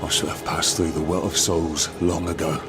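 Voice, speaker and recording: A man's voice: rumbling low, little pitch movement, moderate pace. An actor playing a bitter, proud undead swordsman in a video game, speaking in a brooding, dramatic way. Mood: bitter pride. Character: proud, bitter undead male warrior, master of blades. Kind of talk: video game cutscene acting. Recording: loud music behind.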